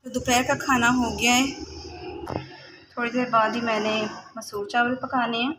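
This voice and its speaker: A woman talks viewers through her day at home in a relaxed, explanatory way, her pitch sitting a little high but hardly moving. The home recording carries a clear layer of background noise. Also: moderate pace. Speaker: home cooking vlogger. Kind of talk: casual chat narrating daily chores and cooking. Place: home kitchen, indoors.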